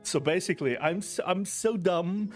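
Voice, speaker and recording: A man hosting a casual stream chat, telling stories with animated delivery, acting out sounds, and laughing a lot. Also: sits high, normal speed, faint music behind. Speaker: host.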